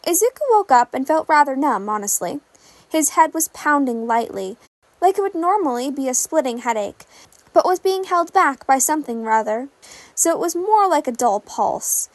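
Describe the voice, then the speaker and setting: A woman's voice: high-pitched, animated, moderate pace, dry recording. A woman reading fan fiction aloud as a scripted story narration.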